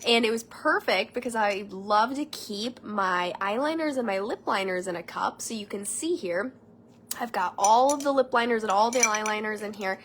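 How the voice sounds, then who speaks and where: A woman's voice: mid-range voice, moderate pace, a little hiss or hum. A young woman with a nasal, stuffy voice from a cold, chatting casually through a live makeup tutorial at home. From Michigan, United States. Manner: chatty, rambling, friendly asides to viewers. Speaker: beauty consultant doing a live makeup tutorial. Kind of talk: casual live-stream chat. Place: home room.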